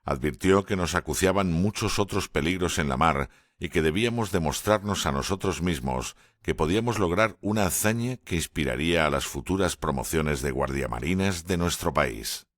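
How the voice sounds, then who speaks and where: A male audiobook narrator with a very deep, low voice reads in a measured, steady way. The recording is dry and close, with no room sound. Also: dry recording. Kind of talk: scripted first-person narration.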